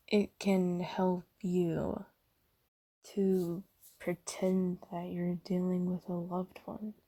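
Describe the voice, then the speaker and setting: A woman voicing a gentle, caring demon in a soft ASMR roleplay, hushed, breathy and soothing, often slipping into whispers. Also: mid-range voice, exaggerated voice. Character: gentle, caring female sleep paralysis demon comforting someone.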